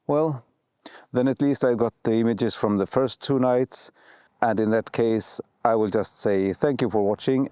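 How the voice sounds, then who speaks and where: A man with a cold and a sore throat, vlogging outdoors at night and thinking aloud with pauses and restarts. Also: mid-range voice, mellow, no echo. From Sweden. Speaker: photography vlogger. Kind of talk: casual vlog narration.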